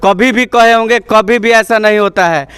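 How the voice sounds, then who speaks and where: A man's voice: high voice, fairly even intonation, moderate pace, fairly bright tone, smooth. A young male student leader, complaining through rhetorical questions in an interview. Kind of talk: street interview answers.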